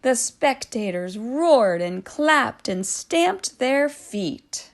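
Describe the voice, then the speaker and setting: A woman reading a children's storybook aloud expressively, drawing out phrases with dramatic hushes and swells. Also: on the high side, sing-song, extremely slow. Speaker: storybook reader.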